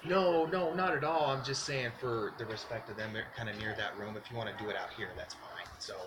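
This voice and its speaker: A man working as a security officer, making a mild, polite and hesitant request in person, sounding quiet and distant. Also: medium pitch, fairly quick. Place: public building near classrooms. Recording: quiet, distant voice under clear noise.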